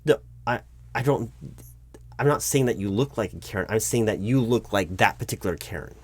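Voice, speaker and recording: A man's voice: lowish voice, lively intonation, a little fast, husky. A man playing a flustered, awkwardly polite recruiting manager in a one-sided interview roleplay, speaking hesitantly and often repeating his words.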